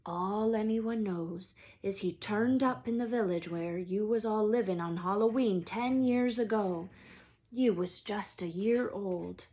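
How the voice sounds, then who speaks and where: Woman acting out big gruff wizard man, storybook, unhurried pace, folksy dropped endings, emotional, good-hearted, anxious. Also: medium pitch, mellow, natural voice. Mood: grave, reluctant.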